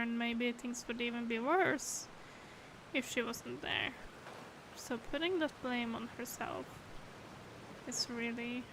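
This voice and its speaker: High-voiced, slow-talking woman streamer. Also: a bit bright, a little hiss or hum, poor audio. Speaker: game streamer. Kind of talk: casual live reaction commentary.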